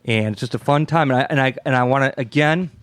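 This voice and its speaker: An American man hosting a live radio event, chatting off the cuff in a halting, repetitive, effusive way. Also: medium pitch, fairly bright tone, smooth. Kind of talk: casual, unscripted thanks and chat.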